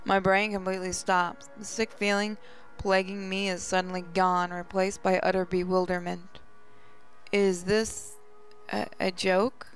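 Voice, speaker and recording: A woman gaming host reading a visual novel aloud as expressive first-person story narration. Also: medium pitch, unhurried. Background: music.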